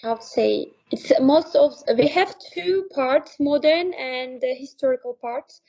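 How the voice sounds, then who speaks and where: A young woman with an Uzbek accent, her voice high and gravelly with a lot of fry. She speaks haltingly in English and fills the gaps with "uh" while she looks for words. The audio sounds dull and lo-fi. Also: darkish tone. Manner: halting, searching for words with many fillers. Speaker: guest, medical student or doctor. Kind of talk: casual interview conversation.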